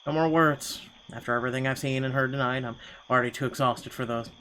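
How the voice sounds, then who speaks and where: A man's voice: mid-range voice, fairly even intonation, fast, pushed and bright, a little hiss or hum, poor audio. A man reading first-person narration from a game aloud in a dramatic storytelling style. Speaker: gaming channel host reading a visual novel aloud.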